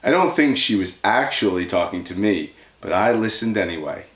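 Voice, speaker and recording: Male teacher reading a storybook aloud, lowish voice, very varied intonation, roomy echo. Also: animated, normal speed, a bit bright. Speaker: guest reader, teacher.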